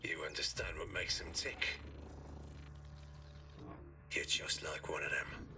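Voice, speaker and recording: An actor playing a ruthless, hard-bitten renegade dealer in a video game, speaking in curt, threatening commands. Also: male voice, very deep voice, steady tone, takes it slowly, noisy background. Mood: menacing. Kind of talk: video game cutscene acting.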